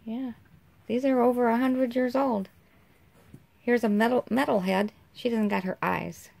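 A woman in her fifties with an American accent, chatting casually into a handheld phone camera, folksy and quick to laugh. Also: a little high, fairly even intonation, creaky, darkish tone, no room sound. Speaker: vlogger. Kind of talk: casual vlog chat. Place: inside a vehicle.

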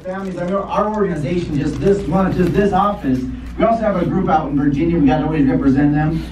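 A man speaking to staff in a rapid, boastful, rambling speech. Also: a little high, a little mellow. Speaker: business leader addressing staff. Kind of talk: speech to a crowd. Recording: roomy, distant, muffled, with noise.

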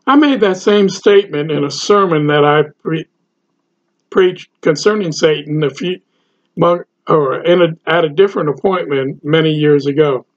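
An older man, a pastor, preaching a sermon slowly and deliberately to a webcam from his home study. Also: on the high side. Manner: slow, deliberate preaching to camera. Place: home study with bookshelves, indoors. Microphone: webcam, seated at computer. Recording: dull lo-fi webcam audio.

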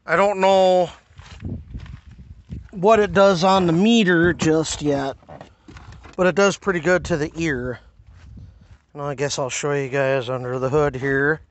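A man's voice: fairly high pitch, fairly even intonation, measured pace, pauses often, highs cut off. A man giving a casual, informal walkthrough as a car audio hobbyist, self-deprecating and a little coarse, recorded on a handheld phone. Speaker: car audio hobbyist showing his system. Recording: noisy phone recording around vehicle.

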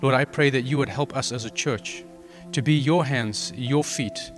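A man's voice: medium pitch, normal speed, big-room sound, soft background music. A middle-aged man speaking earnestly and measuredly as he welcomes a church and leads a prayer, holding a handheld mic indoors. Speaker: pastor. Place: indoors in front of a wooden plank wall.

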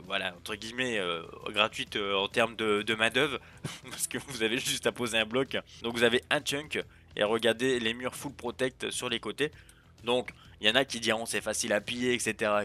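Bright-voiced man showing off a game server. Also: mid-range voice. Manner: chatty, hesitant with many fillers and restarts. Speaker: server owner presenting a game server. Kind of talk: casual gameplay showcase narration.

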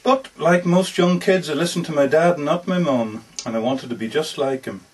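A man reads as a lovestruck working man pouring out a heartfelt letter. He is plainspoken and folksy, with a fairly high-set voice that is slightly husky. The sound comes from a little way off the microphone at a live story reading. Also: normal speed. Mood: reflective, regretful. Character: earnest, lovestruck working-class man from Georgia writing letters. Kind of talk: dramatic reading of a first-person story. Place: bookshop book launch. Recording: distant mic at a live reading.

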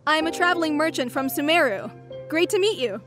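A woman's voice: high-pitched, lively intonation, brisk, music in the background. A woman playing a cheerful, money-loving young traveling merchant in a video game, with a chipper, persuasive sales manner. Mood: friendly, persuasive.

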